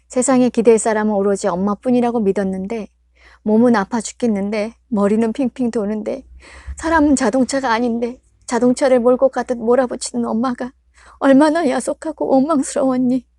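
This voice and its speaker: A patient, remorseful middle-aged mother, voiced by a woman reading aloud, gentle and apologetic, holding back her frustration. The reading is close and dry, with no room sound. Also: on the high side, steady tone, moderate pace, dry recording, close to an everyday voice. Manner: soft, apologetic, pleading.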